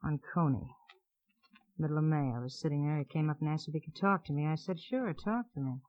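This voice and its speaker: An actress playing a sardonic, world-weary widow and murder suspect in a radio crime drama. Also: female voice, low voice, brisk, lightly acted. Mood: defiant. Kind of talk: 1950s radio crime acting. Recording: muffled old radio recording.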